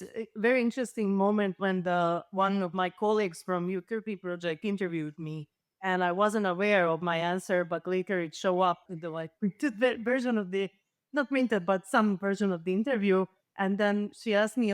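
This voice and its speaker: Balkan accented woman, native serbo-croatian, clear voice, close dry sound, thinking out loud on a panel. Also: mid-range voice, dry recording. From former Yugoslavia. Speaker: panel guest, literature researcher. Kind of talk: reflective panel discussion.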